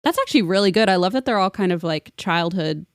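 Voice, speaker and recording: A woman's voice: fairly low pitch, animated, quick pace, clear, resonant tone, no echo, studio quality. A woman chatting casually as a podcast guest, self-deprecating and given to exclamations. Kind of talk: casual chat.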